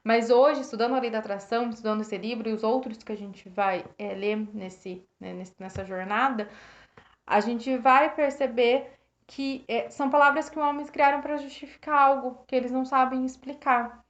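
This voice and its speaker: High-voiced Brazilian woman, slightly hoarse. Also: steady tone, moderate pace. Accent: Brazilian Portuguese. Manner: rambling with many restarts, thanks viewers by name. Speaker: host reading and reflecting on a self-help book. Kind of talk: casual live-stream reflection. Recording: roomy, dull lo-fi live stream.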